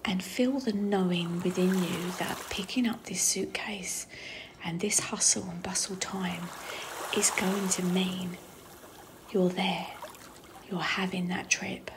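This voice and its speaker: A woman leading a guided visualisation meditation in a soft, breathy, half-whispered voice with slow, unhurried phrasing. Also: on the low side, steady tone. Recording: clearly noisy, trickling water ambience.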